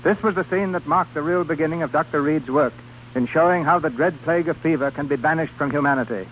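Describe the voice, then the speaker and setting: A man's voice: on the high side, quick pace, a little hiss or hum. Solemn male radio announcer. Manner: solemn, formal. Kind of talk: scripted dramatic narration. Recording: muffled 1930s radio broadcast.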